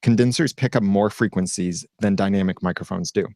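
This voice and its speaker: A man's voice: deep voice, a little fast, darkish tone, no echo, studio quality. A man speaking as a guest in a casual roundtable chat, explaining at length with a self-deprecating streak. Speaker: podcast guest.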